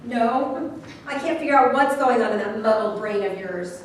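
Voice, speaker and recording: Bereaved, embittered woman, played by an actress, blunt and scornful, higher voice, stage drama, heard from afar. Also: fairly even intonation, normal speed, close to an everyday voice. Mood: scornful, impatient. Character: bitter, skeptical bereaved woman confronting her partner's killer. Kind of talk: staged play reading. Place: echoey room at a staged reading, far mic. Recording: very echoey, distant, faint room noise.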